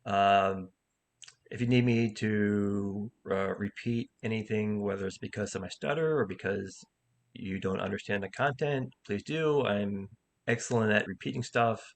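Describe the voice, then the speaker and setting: A man who stutters, an improv teacher and comic, talking candidly in a casual conversation with many repeated words and restarts. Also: on the low side. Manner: candid, halting, thoughtful.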